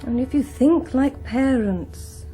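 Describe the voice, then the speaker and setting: Breezy, high-voiced young British woman. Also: a little slow, warm tone, faint music behind, poor audio. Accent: British English. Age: young adult. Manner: flippant, evasive, offhand. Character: breezy, defiant young grown-up daughter of a politician. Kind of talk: radio drama dialogue.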